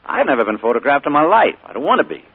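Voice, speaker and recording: An actor playing a smooth, evasive middle-aged uncle in a 1940s radio drama, on a tinny old broadcast recording. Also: male voice, on the high side, very animated, racing, exaggerated voice. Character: charming sinister uncle, middle-aged man.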